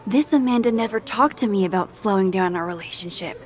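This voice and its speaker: A woman's voice: mid-range voice, fairly quick, natural voice. A woman voicing an awkward, introspective young photographer in a naturalistic video game style. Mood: awkward, confused. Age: young adult. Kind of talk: naturalistic video game acting, dialogue and musing.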